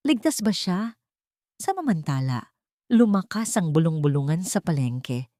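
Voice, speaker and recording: A woman reading a scripted romantic drama as narrator, measured and emphatic, building suspense. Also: low-pitched, warm tone, dry recording, studio quality. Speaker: story narrator.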